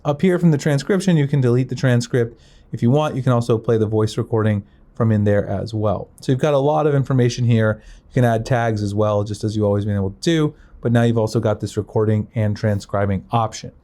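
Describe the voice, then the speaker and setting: A man's voice: mid-range voice, a little fast, dark tone. A man presenting a casual step-by-step phone tutorial in an informal, conversational, explanatory way.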